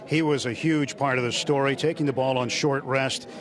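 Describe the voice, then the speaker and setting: A man, a radio baseball broadcaster, asking post-game interview questions in a smooth, practiced way, reeling off stats, in a hallway outside a clubhouse. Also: medium pitch, fairly even intonation, normal speed. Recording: noisy celebration crowd behind.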